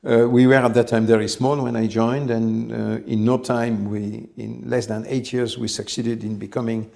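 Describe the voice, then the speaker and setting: A man in his sixties with a gravelly, slightly creaky voice, speaking at a middling pitch. He tells his story in a calm, reflective way as an interview guest. He often stops briefly to search for the right word. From France. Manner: measured, reflective, pauses often to find words. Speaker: company head, interview guest.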